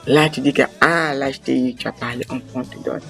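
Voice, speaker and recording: A man's voice: mid-range voice, steady tone, fairly quick, gravelly, a bit bright, soft background music. A young man, speaking emphatically and repeating phrases for weight in a passionate talk. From Republic of the Congo. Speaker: host. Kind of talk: impassioned monologue on language and culture.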